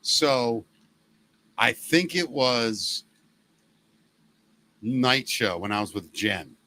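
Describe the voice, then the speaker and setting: A middle-aged man hosting a casual podcast, a former radio DJ with a loud, gravelly former smoker's voice who tells stories and acts out the voices he quotes. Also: mid-range voice, animated, drawn-out words. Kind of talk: casual chat.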